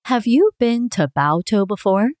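A woman reading her parts in a scripted dialogue for a language listening test, clear and expressive. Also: medium pitch, a little slow, dry recording, studio quality.

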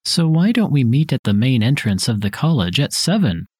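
A man reading his parts in a scripted dialogue for a language listening test, with careful, even delivery. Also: medium pitch, moderate pace, husky, dry recording, clean studio sound.

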